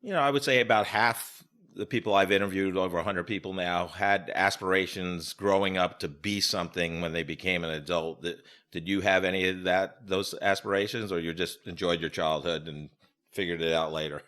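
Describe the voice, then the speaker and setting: Very deep-voiced American man interviewing. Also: fairly even intonation, brisk, fairly bright tone. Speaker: podcast host, interviewer. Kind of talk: casual conversational interviewing.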